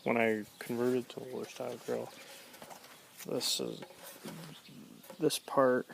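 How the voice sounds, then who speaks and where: A man giving a casual, offhand walkaround in colloquial speech, low-key and gravelly. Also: little pitch movement, slow pace. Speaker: vlogger showing his pickup trucks. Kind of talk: casual chat, walkaround show-and-tell. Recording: noisy outdoor handheld camera recording.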